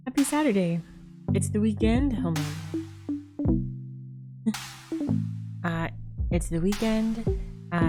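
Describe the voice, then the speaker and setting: A woman livestreamer chatting casually and a little hesitantly to viewers in an easygoing way. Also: lowish voice. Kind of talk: casual gaming stream chat.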